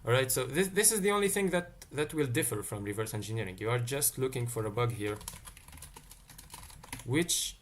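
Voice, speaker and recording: A man's voice: medium pitch, animated, normal speed, slight background noise. A man from Tunisia, teaching a live-streamed coding lesson. He thinks aloud and answers chat questions as they come in. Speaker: livestream tech teacher.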